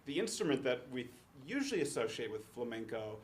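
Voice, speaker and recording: A man's voice: medium pitch, very animated, fairly quick. A man explaining music on a video call, hesitant, with frequent ums and restarts. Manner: hesitant, many restarts and fillers. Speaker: teaching artist, musician. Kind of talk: casual explanation on a webcast.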